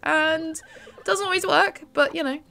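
A woman's voice: very high voice, normal speed, creaky, pushed and bright. A British woman in her twenties, just woken up, chatting casually and giggling as she plays a horse game. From United Kingdom. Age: 20s. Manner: chatty, giggly, gushing over cute foals. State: just woken up, voice lower than usual. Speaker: gaming YouTuber. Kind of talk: casual let's-play commentary.

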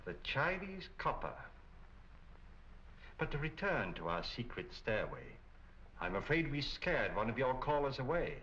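An actor playing a calm, polite detective who speaks precisely and drily in 1940s film style. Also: male voice, mid-range voice, measured pace, long pauses, slight background noise. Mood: wry, courteous. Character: calm, courteous, wryly probing Chinese male detective. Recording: dull old film soundtrack with music.

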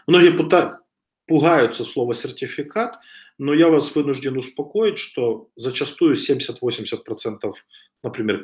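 A man in his thirties giving a webcam lecture over slides, talking casually with frequent hesitations and a slight cough from a cold. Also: mid-range voice. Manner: conversational, with frequent hesitations and restarts. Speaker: online business instructor. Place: home room with wooden shelves. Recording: echoey room on a webcam.

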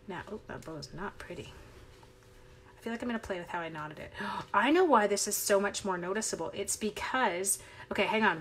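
A woman's voice: medium pitch, fairly bright tone, a little hiss or hum. A middle-aged woman from Canada, chatty and upbeat, leading a live card-making tutorial at her craft desk while she talks with viewers.

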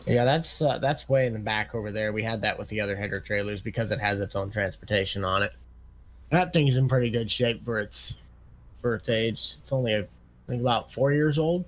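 A man's voice: fairly low pitch, fairly quick, close to an everyday voice, low-quality recording. Man playing easygoing farm equipment salesman. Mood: casual, businesslike. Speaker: role-play gamer playing dealership salesman. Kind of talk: improvised role-play dialogue.

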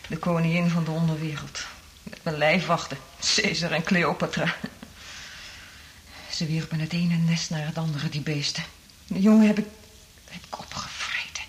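An actress in a radio drama playing a traumatised woman survivor, half-mad from isolation, speaking in an anguished, halting, dramatic way. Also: deep voice, fairly even intonation, a little hiss or hum, lightly acted. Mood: distraught, half-mad.

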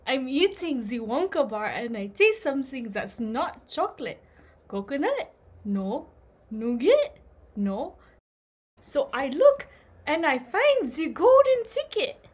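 A woman's voice: high voice, big pitch swings, takes it slowly, exaggerated voice. A woman voicing a greedy, food-loving little boy in an amateur puppet show. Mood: savoring, puzzled.

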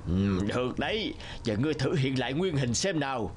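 Casting: male actor for menacing, gullible serpent spirit disguised as an elder, expressive, noisy animated-film track. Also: medium pitch, a little fast, natural voice. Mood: probing. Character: menacing, gullible snake demon disguised as an old man. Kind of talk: cartoon voice acting.

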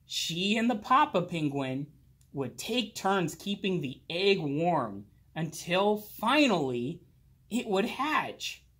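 A man reading a children's picture book aloud expressively, drawing out words and pausing. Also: high-pitched, slightly rough. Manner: slow, animated, with drawn-out words and pauses. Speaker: children's storybook reader. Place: indoors, library with bookshelves.

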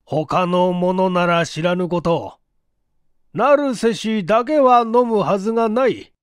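A man's voice: high voice, clear, resonant tone, dry recording, studio quality. A man voicing a shrewd, self-assured police chief who questions politely but emphatically, in a story reading. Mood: pressing, insistent. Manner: deliberate, emphatic, leading questioning. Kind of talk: acted dialogue in story reading.

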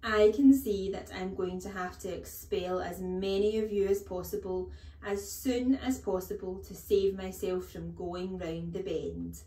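A woman's voice: mid-range voice, fairly even intonation, unhurried, dark tone, lightly acted. A woman voicing a huge, bullying headmistress who barks contemptuous commands and insults in a children's storybook reading. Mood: disgusted. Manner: barking, bellowing commands, contemptuous. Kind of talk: children's storybook read-aloud. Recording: echoey room.

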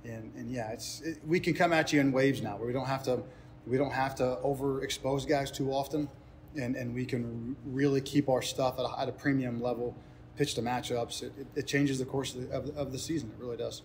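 A man's voice: mid-range voice, fairly even intonation, brisk, a little mellow. A man in his thirties, a sports coach, giving earnest, explanatory unscripted answers in a press interview, often repeating phrases for emphasis. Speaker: head baseball coach. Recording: ambient noise behind speech.

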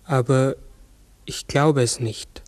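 An actor playing a large talking raven in a 1960s radio drama, brooding, uncertain and often correcting himself. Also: male voice, medium pitch, fairly even intonation, a little mellow, no room sound, close to an everyday voice. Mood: pensive, uncertain. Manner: halting, brooding, self-correcting. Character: human-sized talking raven, pensive, uncertain, forgetful.

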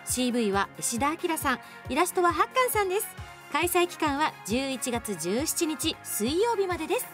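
A woman's voice: sits high, sing-song, normal speed. A woman reading a scripted announcement as a radio show host. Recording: faint music behind the voice. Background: background music.

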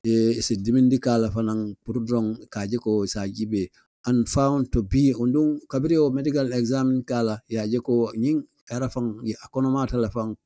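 A man's voice: mid-range voice, warm tone, no echo. An older man from The Gambia presenting the daily news at a studio desk, reading newspaper stories aloud and slipping English phrases into his talk. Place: small studio desk indoors. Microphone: studio mic on a boom arm, close.

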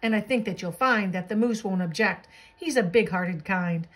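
A woman's voice: mid-range voice, normal speed, close to an everyday voice. Woman as a jolly little storybook bug. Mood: jolly, inviting. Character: small bug, friendly, jolly, freeloading hitchhiker.

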